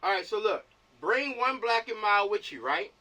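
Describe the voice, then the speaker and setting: Higher-pitched American man on a distant call mic. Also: lively intonation, normal speed, pushed and bright. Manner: directive, repeats himself, often checks in. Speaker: film director running a meeting. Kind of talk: casual planning chat on a video call. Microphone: video call.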